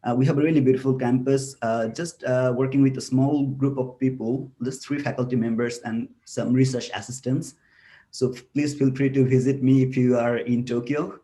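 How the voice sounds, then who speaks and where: A man's voice: mid-range voice, fairly even intonation, normal speed, warm tone. Hesitant man presenting from Bangladesh. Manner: hesitant, many filler sounds. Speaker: webinar presenter, researcher. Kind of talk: academic presentation. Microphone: computer mic on a video call.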